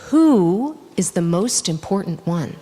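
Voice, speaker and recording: A kind, uncertain young boy from a picture book, read by a woman. The lines are earnest and questioning, with animated pitch, carried in a reverberant hall. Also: slow, breathy edge, full character voice. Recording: echoey hall with long reverb.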